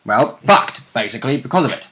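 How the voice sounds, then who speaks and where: A man's voice: mid-range voice, expressive, fairly quick, bright, forceful tone. A man co-hosting a gaming vidcast with casual banter, chipping in bluntly and jokingly. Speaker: co-host of a tabletop roleplaying vidcast.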